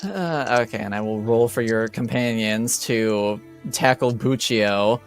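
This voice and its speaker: A man with a smooth, clear voice narrates a tabletop roleplaying game as game master. He takes his time, feeling his way through the action haltingly, with light music underneath. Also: lowish voice. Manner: halting, hesitant delivery.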